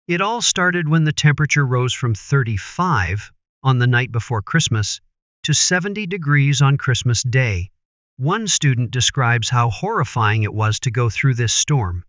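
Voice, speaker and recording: A man's voice: mid-range voice, fairly bright tone, no echo, dull audio. A man playing a TV news reporter, narrating a scripted report in a grave, formal manner.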